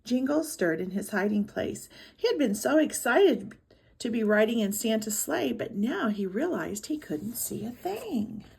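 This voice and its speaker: A woman reading a storybook aloud to children in expressive narration. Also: a little high, measured pace, gravelly, breathy edge. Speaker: storybook reader.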